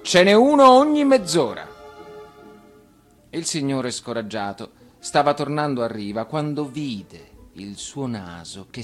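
A man reading a children's tale expressively as an actor, with music behind him. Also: fairly low pitch. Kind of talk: expressive storybook narration. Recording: radio reading over music.

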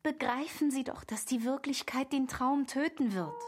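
A woman's voice: sits high, moderate pace, a little hiss or hum, close to an everyday voice. An actress playing a coquettish married woman of about thirty, torn and imploring as she holds off a lover in a radio drama. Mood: urgent pleading. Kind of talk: literary radio drama acting. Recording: loud music under the voice. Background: music.

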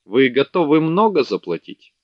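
A man's voice: medium pitch, big pitch swings, moderate pace, no room sound, pitched above the performer's own voice. A man reading a haughty, greedy merchant. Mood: scornful. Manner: haughty, contemptuous. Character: sleepy greedy fat male merchant. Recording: dull dry lo-fi audiobook recording.

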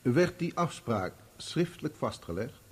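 An actor in a Dutch radio play, playing a formal courtroom examiner who questions a witness deliberately. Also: male voice, medium pitch, sing-song, husky. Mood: probing, courteous.

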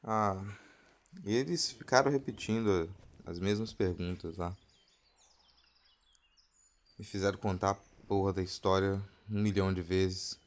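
A Brazilian man with a lowish voice acting in an audio drama. He plays someone worn out and fed up, grumbling colloquially. The delivery is natural and conversational. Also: steady tone, measured pace, pauses often. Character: weary, fed-up man just back from police questioning.

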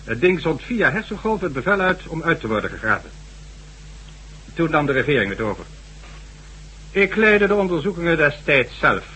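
A man's voice: mid-range voice, sharp tone, rough recording. An actor playing a stern, sardonic government official in a radio drama, formal and condescending as he lectures. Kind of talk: Dutch radio drama acting. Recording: faint hum and noise, old radio recording.

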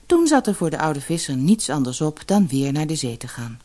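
Expressive, lowish-voiced woman narrator. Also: moderate pace. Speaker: audiobook narrator. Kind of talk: scripted fairy-tale narration.

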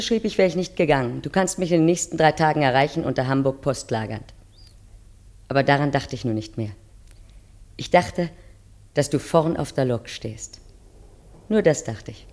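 A woman's voice: low voice, a little mellow. An actress playing a weary, reproachful wife in a radio drama, wistfully recalling her youth and addressing her husband intimately.

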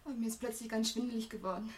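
A woman's voice: on the high side, steady tone, close to an everyday voice. An actress playing a frightened, ashamed young woman in a radio drama, hesitant and fragile. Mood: faint, apologetic. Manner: hesitant, halting. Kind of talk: intimate radio drama acting.

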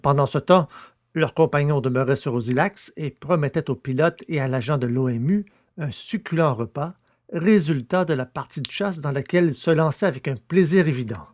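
A man hosting a podcast and narrating a science fiction audio novel, in a clear, even storyteller's reading. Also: fairly high pitch, normal speed.

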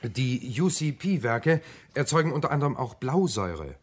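A dutiful police assistant in a radio crime play. His mid-pitched voice swings up and down with lots of animation. He is eager, chatty and respectful to his superior. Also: measured pace, slightly rough. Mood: deferential, eager. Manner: deferential, animated, colloquial. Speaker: radio drama actor. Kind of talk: German radio crime drama acting.

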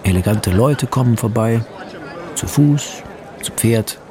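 A man narrating a radio drama, reading out film scene directions in a terse, deliberate, descriptive manner. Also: mid-range voice, a crowd in the background.

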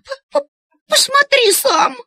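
A woman's voice: extremely high pitch, very animated, takes it slowly, sharp tone, no echo, clean studio sound, exaggerated voice. A woman voicing a timid but inquisitive little boy in a children's audio story, hesitant and stammering. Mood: terrified stammering. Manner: hesitant, stammering, breaking up phrases.

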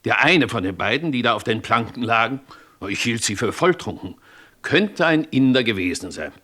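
An actor playing a dutiful, respectful river police constable, giving a measured, deliberate report in a German radio crime drama. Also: male voice, medium pitch, big pitch swings, pushed and bright. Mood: hesitant, thoughtful. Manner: deferential, measured witness report.

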